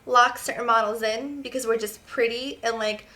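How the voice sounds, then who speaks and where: A woman's voice: fairly high pitch, steady tone, moderate pace, sharp tone. A young woman from Serbia with no accent, a guest chatting casually and filling her talk with "like". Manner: chatty, filler-heavy, lots of "like". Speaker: guest, model. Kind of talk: casual interview chat.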